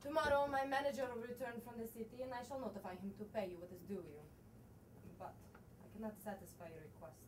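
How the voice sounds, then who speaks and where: A woman acts out a proud young widow in a stage play, high-voiced and imperious. She speaks in grand, mournful, theatrical tones, picked up from far off with some noise around her. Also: fairly even intonation, fast talker, natural voice. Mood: haughty, firm. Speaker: student actor. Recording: distant stage recording with noise.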